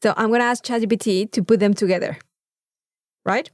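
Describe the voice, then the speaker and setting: A woman with a Mexican accent telling an anecdote in a casual, animated podcast chat, swearing freely. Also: medium pitch, a little fast, no echo, clean studio sound. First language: Spanish. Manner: animated storytelling with frequent swearing. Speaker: podcast co-host.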